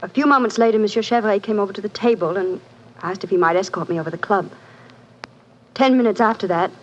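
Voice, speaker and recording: An actress playing a poised young wealthy widow in a 1940s radio drama, polite and restrained. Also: female voice, mid-range voice, fast talker, natural voice. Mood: restrained, distressed. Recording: noisy muffled vintage radio broadcast.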